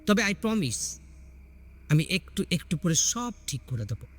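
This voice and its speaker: A man as a devoted young husband, fairly high voice. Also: very animated, slow pace, fairly bright tone, full character voice. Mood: tender, earnest. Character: devoted, playful young husband, anxious for his wife.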